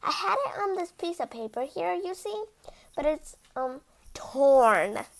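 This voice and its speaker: A woman voicing a nervous, deferential royal story writer in a children's picture book, halting and apologetic. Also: high-pitched, expressive, vocal fry, exaggerated voice. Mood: flustered, apologetic.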